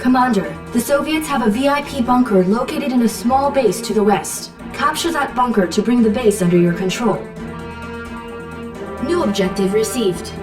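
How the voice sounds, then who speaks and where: A woman's voice: a little high, lively intonation, moderate pace, close to an everyday voice. An actress playing a dutiful female imperial intelligence officer, giving formal, businesslike briefings in a war game. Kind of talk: video game mission briefing and announcements. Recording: roomy distant sound under game music. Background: game music.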